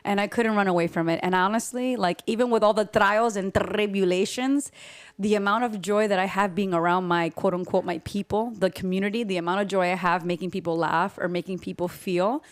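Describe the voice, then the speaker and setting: A woman with a medium-pitched voice chats as an interview guest. She is theatrical and playful, tossing Spanish phrases into casual, comic talk. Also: fairly even intonation, brisk. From Miami and New York, United States. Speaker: guest, singer and actress. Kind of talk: casual comedic interview chat.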